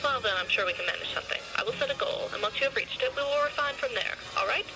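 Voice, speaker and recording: A performer voicing a pompous, flattering male fashion designer with a theatrical, flowery manner in an audio story, with music behind. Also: sits high, fast talker, voice pushed up, low-quality recording. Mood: persuasive.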